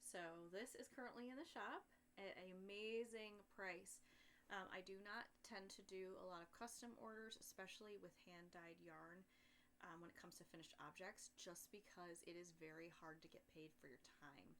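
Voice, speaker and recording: Soft-spoken woman straining to talk louder. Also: fairly low pitch, steady tone, moderate pace, a little hiss or hum. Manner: soft-spoken, talking louder than usual, rambling with fillers. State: tired with a headache. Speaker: podcast host and yarn maker. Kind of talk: casual solo chat. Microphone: built-in computer microphone, sitting close.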